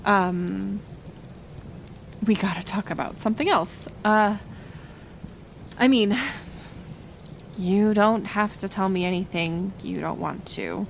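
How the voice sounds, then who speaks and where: A woman playing a kind, stubborn healer of the woods in an intimate roleplay audio, speaking softly and hesitantly, with soothing pauses. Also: mid-range voice, vocal fry, a little hiss or hum. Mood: awkward, reassuring. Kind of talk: roleplay audio acting, intimate one-sided dialogue.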